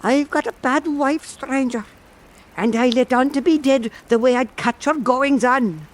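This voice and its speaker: Guy doing a sneaky irish husband faking death, high urgent voice, confiding, radio folk tale. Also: measured pace. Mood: conspiratorial. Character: wronged husband feigning death, scheming and conspiratorial countryman.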